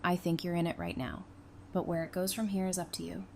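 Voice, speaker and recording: A woman's voice: lowish voice, brisk, creaky, a little mellow, a little hiss or hum. A woman playing a level-headed, supportive, teasing wife and mother in an audio drama, speaking frankly and conversationally. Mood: reassuring.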